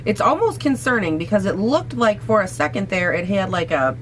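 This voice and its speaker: A young woman with a raspy voice, hoarse from a cold, chatting casually and sassily through a makeup try-on at home. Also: mid-range voice, big pitch swings, brisk, slight background noise, rough recording. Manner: chatty, sassy, swears casually. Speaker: beauty vlogger.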